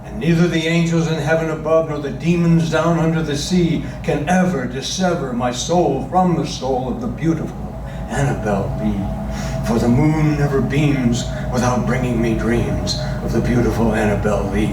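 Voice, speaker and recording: A man gives a lyrical, dramatic reading of verse at a medium pitch. He sounds slightly distant, in an echoey room with faint noise. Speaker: stage performer reading poetry. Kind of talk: dramatic poetry recitation.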